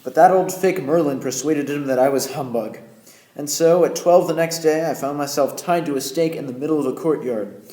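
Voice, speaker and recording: A man's voice: a little high, normal speed, mellow, natural voice. A young man playing a wry, self-assured Yankee who recounts his own adventure in an amateur radio play. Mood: wry, confident. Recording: echoey room with background noise.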